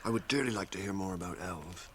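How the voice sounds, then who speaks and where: A man as a low-voiced, homely hobbit servant. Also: fast, slight background noise, natural voice. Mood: wistful, uneasy. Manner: homely, plain-spoken. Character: plain-spoken, wary, loyal male hobbit servant. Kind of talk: radio drama acting.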